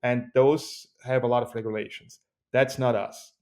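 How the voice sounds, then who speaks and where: Man, Swiss accent, company CEO guest, energetic, joking, mid-range voice. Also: normal speed. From Switzerland. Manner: energetic, joking pitchman delivery. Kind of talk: podcast interview.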